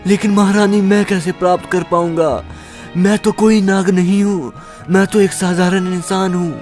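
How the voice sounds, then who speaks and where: A man's voice: sits high, little pitch movement, moderate pace, darkish tone, soft background music, close to an everyday voice. A man playing an eighteen-year-old son, a naive but determined hero, speaking emphatically in a melodramatic story. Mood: bewildered. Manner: dramatic, emphatic.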